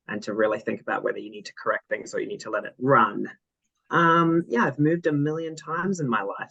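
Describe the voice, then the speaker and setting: A man from South Africa gives a chatty, rambling self-introduction as a netball coach. His voice is bright and forward. The sound is dry and close, through a video-call mic. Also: mid-range voice, no room sound, muffled recording. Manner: chatty, laughing, rambling anecdotes.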